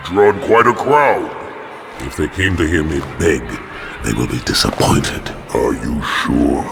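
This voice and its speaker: A gravelly, proud alien warrior. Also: male voice, rumbling low, sing-song, full character voice. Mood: defiant. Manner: proud, defiant, measured. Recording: game cutscene, reverberant, noisy.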